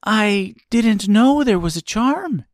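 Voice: mid-range voice, big pitch swings, a little slow, no echo, studio quality, pitched above the performer's own voice. A storyteller voicing an earnest, curious and anxious young girl in a children's storybook. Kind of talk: storybook character voice.

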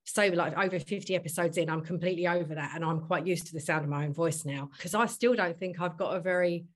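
A middle-aged woman hosting a podcast, chatty and self-deprecating, sounding a little husky today. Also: on the low side, fast talker. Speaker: podcast host. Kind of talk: podcast intro and casual chat.

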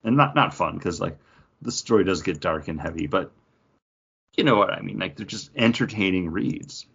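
A man's voice: deep voice, animated, normal speed, creaky, fairly bright tone, breathy edge. A man co-hosting a podcast, chatting casually and informally. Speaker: podcast co-host. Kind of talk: casual chat about comics and craft beer. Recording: slightly dull, lo-fi podcast audio.

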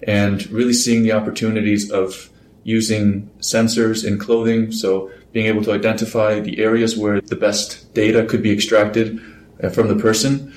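A man from Iceland, a guest engineer explaining his work in a measured, unhurried way. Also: lowish voice, flat delivery, a little mellow, roomy sound. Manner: measured, explanatory, with small laughs. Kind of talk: casual interview.

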